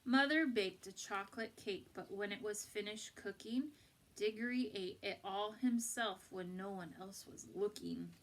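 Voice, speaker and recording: A woman reading a picture book aloud to children in an expressive, deliberate, instructive way. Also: medium pitch. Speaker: storybook reader for children.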